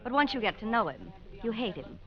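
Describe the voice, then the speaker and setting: A woman's voice: on the high side, lively intonation, brisk, natural voice. A woman playing a bored, sophisticated and bitter wife in an old radio drama, dripping dry sarcasm. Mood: bitter, sardonic. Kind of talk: 1940s-style radio drama acting. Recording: dull old radio recording.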